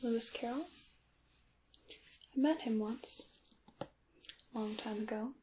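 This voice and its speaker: A woman voicing a mysterious, centuries-old witch shopkeeper in an ASMR roleplay, soft-spoken and breathy, often slipping into whispers, with courteous old-fashioned phrasing and a coy, wry manner. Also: fairly high pitch, slow pace, slight background noise. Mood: guarded, coy.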